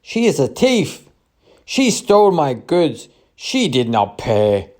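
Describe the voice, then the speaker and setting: A man voicing an outraged market trader, barking loud, indignant accusations in a dramatized story reading. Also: fairly high pitch, huge pitch swings, takes it slowly, voice pushed up, exaggerated voice. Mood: outraged shouting.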